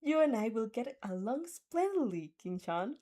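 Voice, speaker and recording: A woman's voice: on the high side, sing-song, unhurried, mellow. A woman voicing a friendly, confident teenage schoolgirl with a playful, teasing manner in an anime fan dub.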